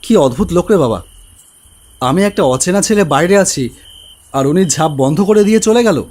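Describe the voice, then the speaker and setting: A man's voice: sits high, animated, normal speed, a little mellow. A man giving scripted, dramatic first-person narration for a cartoon horror story. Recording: faint crickets and rain ambience.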